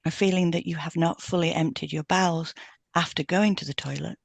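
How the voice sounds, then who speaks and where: A woman's voice: on the low side, fairly even intonation, brisk, gravelly, husky, dry recording. A woman co-hosting a podcast, reading scripted health information in an informative way. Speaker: podcast co-host and hypnotherapist. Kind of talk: scripted educational podcast, read aloud.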